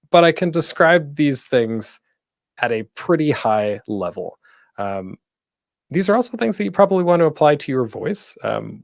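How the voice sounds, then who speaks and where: A man's voice: mid-range voice, gravelly, darkish tone, dry recording, clean studio sound. A man presenting a tutorial in a casual, chatty way with light humor. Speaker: panel host giving a podcasting tutorial.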